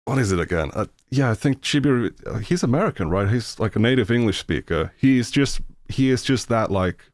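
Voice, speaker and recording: A man's voice: medium pitch, expressive, no echo, studio quality. A man from Finland with a Finnish accent, speaking English as a second language and giving a blunt, rambling reaction commentary full of fillers. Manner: rambling, halting with many fillers, blunt and profane. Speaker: streamer reacting to videos.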